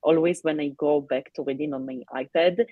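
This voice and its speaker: Non-native woman, husky voice, hesitant casual talk, dry close mic. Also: low voice, steady tone, dry recording, phone-quality sound. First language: not English. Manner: halting, with many fillers and restarts. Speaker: co-host of a live reading stream. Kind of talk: casual chat.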